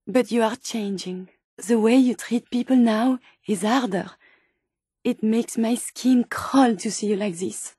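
High-voiced, earnest French robot scientist. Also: female voice, dark tone, husky, no room sound, clean studio sound. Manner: formal, precise, earnest phrasing. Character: gentle, earnest female robot scientist turned synthetic human. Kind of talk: video game character dialogue.

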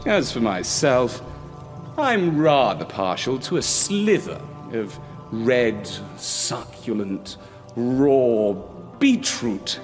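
A man voicing a sly, flattering fox with theatrical, smooth talk in a children's storybook. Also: mid-range voice, drawn-out words, faint music behind. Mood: unctuous, preachy.

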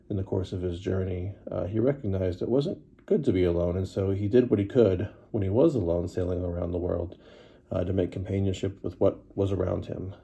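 Gravelly low-voiced man giving a lesson. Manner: relaxed, many fillers, unhurried. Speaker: teacher. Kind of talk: casual history lesson to camera.

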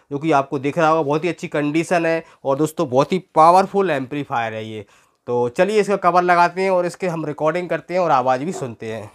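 A man's voice: on the high side, smooth. A man gives a casual, explanatory product demo, addressing viewers as friends and repeating himself as he goes. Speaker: presenter demonstrating audio equipment for sale.

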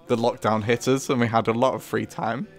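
A man's voice: medium pitch, flat delivery, fairly quick, soft background music. A man giving casual behind-the-scenes commentary as a co-host, chuckly and hesitant in manner. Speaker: co-host, writer and puppeteer.

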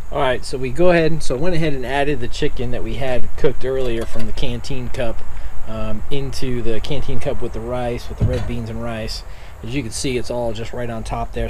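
A man hosting an outdoor cooking video, explaining each step at a relaxed pace with plenty of fillers and restarts. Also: medium pitch, slight background noise, poor audio. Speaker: outdoor cooking channel host. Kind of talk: casual cooking demonstration. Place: outdoors at a truck tailgate by a camp stove.

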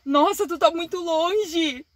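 A woman's voice: very high-pitched, slow pace. A woman in her thirties with a southern Brazilian accent, chatting casually as a vlogging mother. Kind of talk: casual family vlog chat. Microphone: phone at arm's length. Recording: noisy outdoor phone video.

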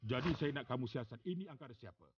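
A man voicing a stern, authoritative commander in a children's cartoon, speaking Malay in a commanding, deliberate way. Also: medium pitch, animated, recorded from a distance, dull audio, heavy background noise, close to an everyday voice. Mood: grave briefing. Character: stern male commander of animal agents, urgent and authoritative. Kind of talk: animated children's cartoon dubbing.

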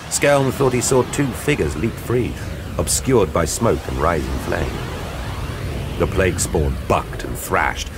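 A man giving a grave, measured, dramatic narration for an audio drama. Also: low-pitched. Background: dramatic music.

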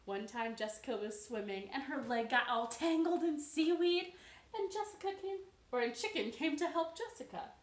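A young woman tells stories to small children in a very animated, fairly high voice. She is recorded a little way from the camera in an echoey living room. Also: moderate pace. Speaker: children's librarian storyteller. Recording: roomy living-room sound.

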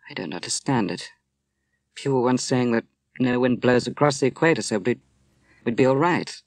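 A man voicing a young woman who is drunk, bitter and despairing, with a rising, shrill, emotional delivery. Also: expressive, moderate pace, no echo, natural voice.

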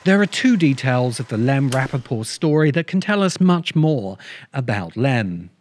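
Middle-aged man narrating with lively intonation. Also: mid-range voice, moderate pace, slight background noise. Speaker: video essayist and host. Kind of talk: scripted essay narration. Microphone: close desk microphone. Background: music.

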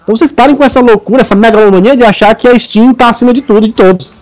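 A man with a Brazilian Portuguese accent and a notably high voice co-hosts a casual gaming podcast. He talks emphatically and lectures his listeners. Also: very fast. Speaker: podcast co-host.

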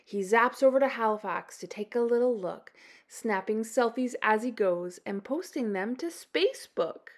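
A Canadian woman reading a children's picture book aloud, with animated delivery and dramatic emphasis. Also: on the high side, moderate pace. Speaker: children's storytime host.

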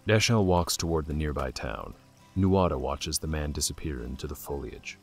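A male narrator with an extremely deep, creaky voice delivers scripted dramatic storytelling. Faint music plays under his narration. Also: lively intonation, moderate pace.

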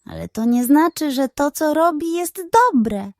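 Storybook kitten hero, male, small and brave, played by a woman; high, earnest, unhurried. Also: expressive, no echo, studio quality. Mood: earnest, firm. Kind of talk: children's storybook character voice.

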